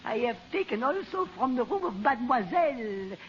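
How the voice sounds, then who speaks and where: High-voiced sly little French thief. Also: male voice, sing-song, moderate pace, fairly bright tone, muffled recording, heavily acted. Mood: gleeful boasting. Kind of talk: 1940s radio serial acting. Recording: noisy, band-limited old radio broadcast.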